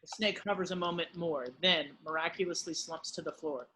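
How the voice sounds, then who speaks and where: A man giving scripted narration, reading the stage directions of a drama in a husky voice. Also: a little high, fairly even intonation, brisk, bright, forceful tone, a little hiss or hum, poor audio.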